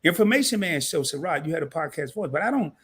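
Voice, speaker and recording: A man's voice: on the high side, quick pace, breathy edge. A man hosting a casual live-stream interview, slangy and joking at his own expense, his voice a little scratchy tonight. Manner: animated, slangy and laughing at himself. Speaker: host.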